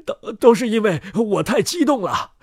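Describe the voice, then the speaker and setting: A man voicing a hot-tempered but kindly inn landlord in a storybook, blustering and excitable. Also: sits high, moderate pace, slightly rough, dry recording, clean studio sound, voice pushed up, full character voice. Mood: panicked, pleading. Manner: shouting, stammering when flustered.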